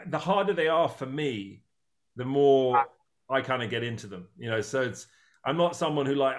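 A fifty-year-old man interviews his guests in a loose, rambling way, full of restarts and jokes at his own expense. His voice sits at an ordinary middle pitch, neither deep nor high. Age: 50s. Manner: rambling, self-deprecating, many restarts. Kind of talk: casual interview chat.

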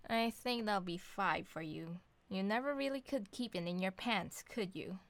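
A woman playing a sharp-tongued, bossy wife and mother who teases bluntly in a scripted audio comedy drama. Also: mid-range voice, normal speed, no echo, lightly acted. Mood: teasing. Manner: sarcastic, bantering, blunt.

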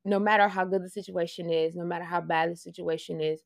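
A woman with a Louisiana Southern accent talks in a lowish voice, reflective and earnest, often laughing and starting her phrases over. The recording is close and dry, with no room sound. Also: fairly even intonation, fast talker, gravelly, dry recording. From Louisiana, USA. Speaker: interview guest, fashion project manager. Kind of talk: casual conversational interview.

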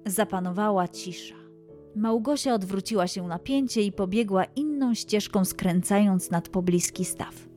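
A woman's voice: medium pitch, normal speed, mellow, faint music behind. A woman narrating a scripted children's story as the storyteller.

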